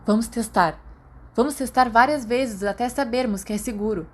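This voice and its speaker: An impatient, brash schoolboy in his teens read by a woman, eager and insistent in storybook dialogue. The voice often jumps up high as he pushes his point. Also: normal speed, close to an everyday voice. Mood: confident, urging. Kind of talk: audiobook character dialogue.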